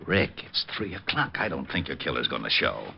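An actor playing a gruff, skeptical male police lieutenant in a 1950s radio drama. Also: a bass voice, very animated, fairly quick, exaggerated voice. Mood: grumbling. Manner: gruff and grumbling. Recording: dull old radio broadcast with faint hiss.

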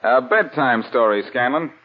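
A man playing a cool, wisecracking sleuth with wry, unhurried tough-guy banter in a 1940s radio drama. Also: medium pitch, expressive, lightly acted. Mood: defiant. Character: cool wisecracking male amateur sleuth, unflappable and loyal. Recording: muffled old-time radio recording.

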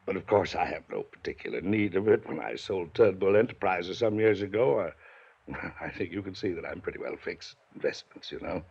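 An actor playing a pompous, domineering wealthy older uncle in a 1950s radio drama. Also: male voice, sits low, brisk, vocal fry, a little mellow, breathy edge, heavily acted. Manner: pompous, clipped, rises to angry lecturing. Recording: dull lo-fi 1950s radio broadcast.

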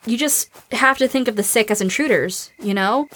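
A woman playing a blunt, high-voiced young survivor. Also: normal speed, fairly bright tone. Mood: firm. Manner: blunt, no-nonsense. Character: tough young female survivor, anxious underneath, blunt. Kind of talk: naturalistic audio drama acting.

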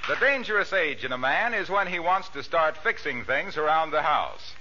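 A man's voice: fairly high pitch, sing-song, moderate pace. A man with an American accent announcing in polished, salesmanlike 1940s radio style. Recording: dull, noisy vintage radio recording.

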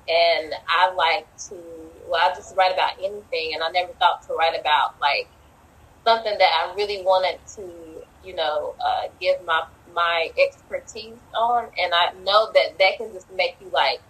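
A woman's voice: lowish voice, a bit bright, breathy edge, low-quality recording. A woman hosting a podcast who asks hesitant, rambling questions full of fillers. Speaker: podcast host. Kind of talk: casual interview questions.

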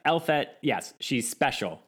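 A man's voice: mid-range voice, unhurried, husky. A man co-hosting a casual film review podcast, recapping the plot with frequent laughter. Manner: laughs often, animated recapping. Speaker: podcast co-host.

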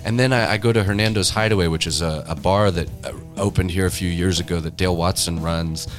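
Male, very low voice, earnest and reflective conversational storyteller, with faint music underneath. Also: steady tone, fast. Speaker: studio staffer and guide.

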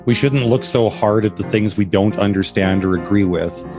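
A man's voice: fairly low pitch, normal speed, over music. A man hosting an interview podcast, asking curious, rambling questions with frequent restarts. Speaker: podcast host. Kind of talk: casual interview chat.

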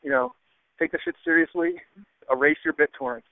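A man's voice: fairly high pitch, very animated, a little fast, gravelly, no echo. A man calling in by telephone, delivering a casual, cautionary rant. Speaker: caller. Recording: dull, dry, narrow phone line.

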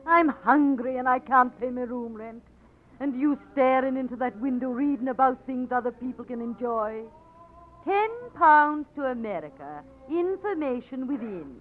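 A woman's voice: high voice, animated, moderate pace. A hungry, worn-down young Irish woman of the streets. She speaks with plaintive reproach in 1940s radio drama style. The sound is thin and tinny, with faint noise. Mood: weary, wistful.

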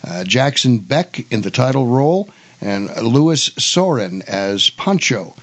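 A man hosting a talk show, mixing casual chat with read notes, halting and repeating words. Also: medium pitch, big pitch swings. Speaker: radio show host.

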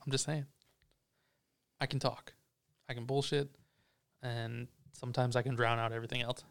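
A man's voice: mid-range voice, flat delivery, normal speed, gravelly, clear, resonant tone. A man co-hosting a casual podcast chat, joking and boastful, swearing freely. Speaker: podcast co-host. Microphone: headphones on, podcast mic.

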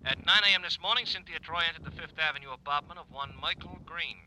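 A man's voice: on the high side, fast talker, pushed and bright. An actor playing a formal, literal-minded plainclothes policeman reporting in during a mid-century radio drama. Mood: dutiful. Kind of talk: 1950s radio drama acting. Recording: noisy vintage radio recording.

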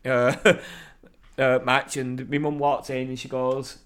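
A man co-hosting a casual wrestling podcast, talking in an animated, rambling way and swearing freely. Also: mid-range voice, takes it slowly, clear, resonant tone. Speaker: podcast co-host. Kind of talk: casual chat.

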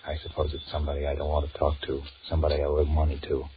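An actor playing a weary, sullen two-timing American office man in a 1940s radio drama, musing in a deadpan mutter. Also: very deep voice, very fast. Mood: weary, grumbling. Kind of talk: 1940s radio drama acting, inner monologue. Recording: muffled, noisy 1940s radio recording.

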